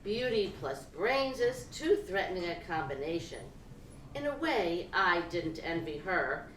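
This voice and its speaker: Female reader for live literary fiction: unhurried, deliberate, dramatic phrasing, heard at a distance in an echoey room. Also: on the low side, very animated. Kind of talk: expressive literary reading of first-person prose. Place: indoor live reading event. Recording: roomy, distant live-event recording with noise.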